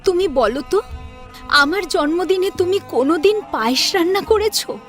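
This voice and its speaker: A woman playing a self-respecting, quietly wounded young woman who is polite but pointed with her family in an audio story. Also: extremely high pitch, lively intonation, normal speed, soft background music, voice pushed up. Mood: hurt reproach. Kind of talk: Bengali audio story acting.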